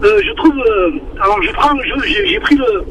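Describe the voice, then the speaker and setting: A man with a southern French accent calling into a radio show, speaking earnestly with many halting restarts over a muffled phone line. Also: very high-pitched, very animated, over a noisy crowd. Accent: southern French, Marseille-like. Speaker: caller. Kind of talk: phone-in opinion on air. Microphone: telephone.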